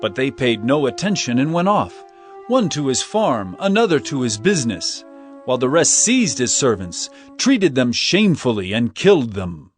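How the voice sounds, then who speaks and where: An actor playing Jesus as a calm, authoritative teacher in a dramatized scripture reading, with measured, solemn delivery. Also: male voice, mid-range voice, soft background music, lightly acted.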